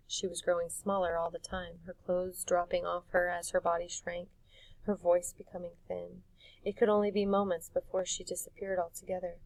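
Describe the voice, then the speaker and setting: A woman narrates a story expressively, as an audiobook reader. Her voice has a marked creak, and the recording is close and dry, with hardly any room sound. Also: lowish voice, no room sound, distant mic, low-quality recording.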